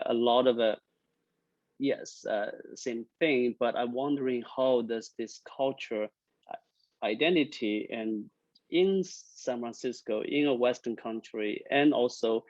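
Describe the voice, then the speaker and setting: A man from the audience asking a question haltingly, with many fillers and broken phrasing. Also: medium pitch, darkish tone, no echo. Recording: dry, dull lo-fi audio.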